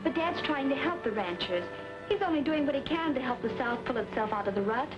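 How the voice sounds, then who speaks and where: High-voiced earnest young daughter. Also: female voice, lively intonation, brisk, music in the background. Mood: troubled. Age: young adult. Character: loyal, spirited young colonel's daughter. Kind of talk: 1930s western film acting. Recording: dull old film soundtrack.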